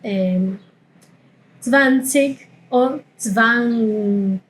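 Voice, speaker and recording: A woman's voice: on the high side, low-quality recording. Woman teaching German pronunciation. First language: not Spanish. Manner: explanatory, slows down to model sounds. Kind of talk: pronunciation lesson.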